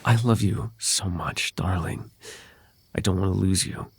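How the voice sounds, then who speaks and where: A man playing a possessive, lovelorn fae royal heir in an intimate ASMR roleplay, with halting, tender delivery and formal, old-fashioned wording. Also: deep voice, creaky, slight background noise. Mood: wistful, devoted.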